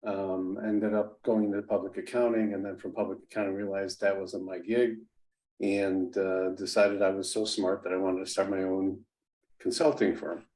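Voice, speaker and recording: A man in his sixties whose first language is Italian, telling his life story as an interview guest in a halting way, with many restarts and fillers. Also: deep voice, level tone, darkish tone, poor audio. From United States. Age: 60s. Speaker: interview guest, business executive. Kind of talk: conversational storytelling.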